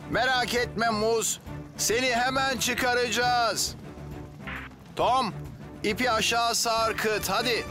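An actor voicing a calm, kindly cartoon firefighter who reassures and gives short commands during rescues. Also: male voice, very high voice, slow, pauses often, music in the background. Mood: reassuring, focused. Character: calm, heroic, kindly male firefighter in action. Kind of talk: animated children's cartoon dub.

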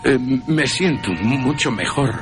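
A man's voice: mid-range voice, lively intonation, a little slow, pitched above the performer's own voice, natural voice. A man voicing a volatile, pleading asylum patient in a radio play, agitated and prone to stammering, with music underneath. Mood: eager.

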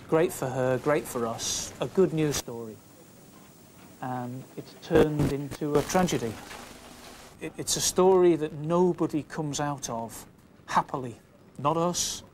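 A man giving a reflective account in a documentary interview. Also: medium pitch. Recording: faint background noise, 1990s TV recording.

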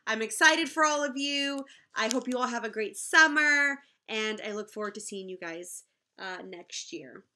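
A woman's voice: a little high, expressive, moderate pace, sharp tone. A woman in her thirties, a teacher casually addressing young pupils and their families from a room at home. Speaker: kindergarten teacher. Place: small room at home, indoors.

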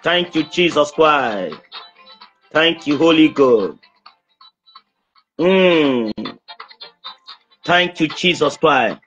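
A young man speaking as a prophet praying over someone on a video call, insistent, halting and repeating himself. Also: on the high side, animated, a bit bright, faint music behind. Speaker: preacher, self-styled prophet. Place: small indoor room. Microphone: phone at arm's length on video call.

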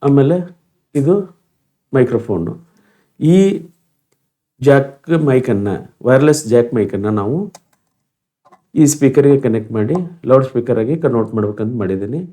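A man's voice: medium pitch, moderate pace, long pauses, dark tone. A man presenting an informal step-by-step tutorial demonstration.

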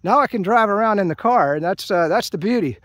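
An older American man chatting casually outdoors as a hiking vlogger, in a rambling, halting way. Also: high-pitched, expressive, a bit bright, dry recording. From United States. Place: outdoors at a trailhead by open fields. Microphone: handheld camera held by speaker.

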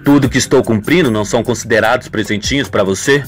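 Man acting a low-voiced shady young thug. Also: quick pace, soft background music, close to an everyday voice. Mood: guarded, complicit. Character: shady, menacing young male accomplice and hitman.

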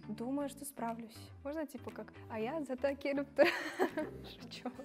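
A woman's voice: high-pitched, moderate pace, darkish tone, faint music behind. A woman in her twenties from Kazakhstan answering interview questions lightly, with a lapel mic. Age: 20s. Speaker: show participant. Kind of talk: casual interview. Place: studio with grey backdrop.

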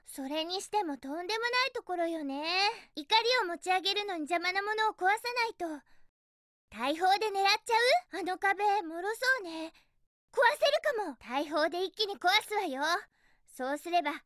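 Very high, perky cartoon hedgehog girl. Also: moderate pace, no room sound, studio quality. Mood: upbeat, determined. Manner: perky, girlish, expressive. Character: cheerful, feisty young female cartoon hedgehog. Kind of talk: Japanese video game voice acting.

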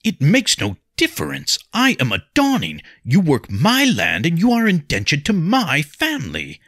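A man voicing a haughty, proper nobleman in an audiobook, formal and forceful, indignant and uneasy. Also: fairly low pitch, huge pitch swings, a little slow, gravelly, husky, no room sound, clean studio sound, voice pushed up, full character voice.

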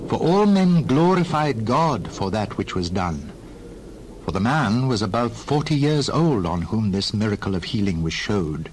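A man narrating scripture in an expressive, scripted storytelling style. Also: on the low side, a little slow, a little hiss or hum, low-quality recording. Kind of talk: scripted, dramatized Bible narration.

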